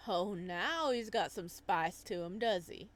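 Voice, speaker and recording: A woman's voice: medium pitch, lively intonation, unhurried, close to an everyday voice. A woman voicing a cocky, sly cowboy character with veiled menace in a visual novel read-through. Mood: sly, smug.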